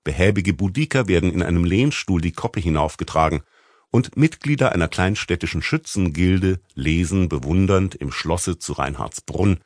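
Deep-voiced man narrating with expressive range. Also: dry recording, clean studio sound. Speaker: audiobook narrator. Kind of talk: scripted literary narration.

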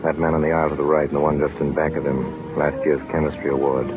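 An actor playing an earnest, ambitious male scientist in a 1930s radio drama, solemn and measured, on a narrow old recording. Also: very deep voice, little pitch movement, over loud music. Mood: solemn, reflective. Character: earnest, ambitious male scientist recalling his student years. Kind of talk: 1930s radio drama acting, dramatic first-person narration.

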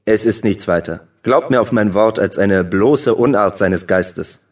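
A brisk court officer with a low voice, declaiming classical verse with formal stage diction and a mocking edge. He addresses his lord in an audiobook drama. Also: far from the mic.

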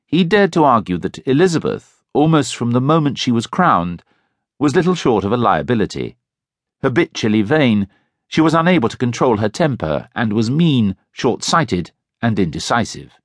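A man narrating a history audiobook in a fairly low voice that rises and falls with lively, expressive emphasis. His reading is measured and deliberate. The sound is dry and close, with no room echo, as from a studio booth. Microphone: close studio microphone.

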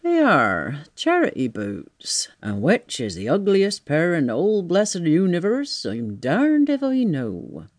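A man's voice: a little high, wildly swooping pitch, slow, hardly any pauses, no echo, studio quality, heavily acted. A man voicing a scruffy, bewildered tramp in an audiobook, muttering and exclaiming in slang. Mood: grumbling. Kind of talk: audiobook character voice.

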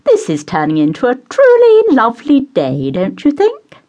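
A woman voicing a meddling, gushing upper-class mother who squeals and beams in a high, shrill voice. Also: huge pitch swings, normal speed, dark tone, muffled recording.

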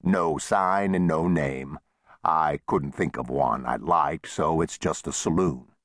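A man's voice: very deep voice, takes it slowly, no room sound, clean studio sound, full character voice. A man voicing a wry, easygoing old frontier saloon owner with folksy, plain-spoken talk in a western audiobook.

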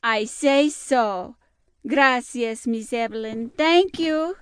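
A woman playing a spirited, sharp-tongued cook and housekeeper with a Spanish accent in an audio drama. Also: fairly high pitch, slow, clear, resonant tone, no echo, studio quality. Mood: brisk, friendly. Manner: teasing, feisty, mixes Spanish words into speech. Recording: music behind the voice.

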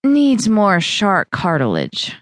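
A woman's voice: mid-range voice, sing-song, slow pace, no echo. A woman voicing a reluctant, bookish young woman who answers in a dry, grudging way.

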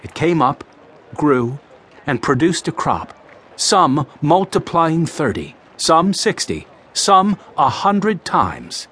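An actor playing an impassioned male teacher preaching parables in a dramatized audio Bible, with declamatory, deliberate phrasing. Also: fairly high pitch, sing-song, a bit bright, slightly rough, exaggerated voice. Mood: proclaiming, storytelling.

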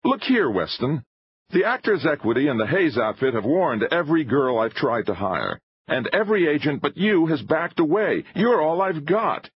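A man acts out a hard-driving film-maker of thirty-five in a storybook adventure. His voice leaps high and swings widely as he insists and demands, with a husky edge. He is impatient and used to command. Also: sing-song, normal speed, highs cut off, full character voice. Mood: urgent, demanding. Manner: forceful, emphatic, insistent. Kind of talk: dramatised audiobook dialogue.

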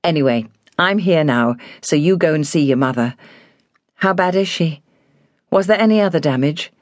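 An anxious young gentlewoman taking charge , played by a woman reader in a novel. She is brisk and well-spoken with worry in her voice, recorded very dry and close. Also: low-pitched, expressive, no room sound. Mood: brisk concern.

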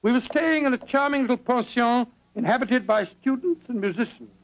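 A man's voice: very high voice, expressive, brisk, rough recording. An actor playing a kindly older English doctor in 1940s radio drama, avuncular and fussy, hemming and hawing as he talks. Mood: genial, reminiscing. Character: kindly, avuncular old English doctor telling his memoirs. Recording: vintage 1940s radio broadcast recording.

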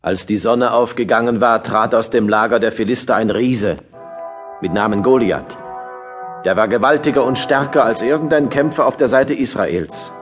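A man's voice: mid-range voice, moderate pace, soft background music, lightly acted. A man narrating a biblical radio play as a solemn, scripted storyteller.

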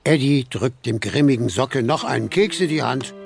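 A man with a gravelly voice and a standard German accent, narrating a children's story in an animated way. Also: mid-range voice, normal speed, music in the background.

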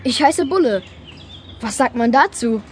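A woman's voice: fairly high pitch, lively intonation, unhurried, slight background noise. An actress playing a tiny, cheeky, confident young boy in a children's radio play, chirpy and showy in manner. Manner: chirpy, self-assured, showy.

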